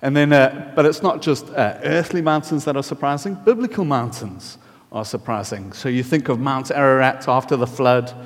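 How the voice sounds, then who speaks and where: A South African man preaches casually in a church hall, filling his talk with little "uh"s and questions to the room. His voice sits at an average pitch for a man. The sound carries a long hall reverb. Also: normal speed. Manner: chatty, friendly, filler-heavy. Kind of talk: casual sermon with audience questions.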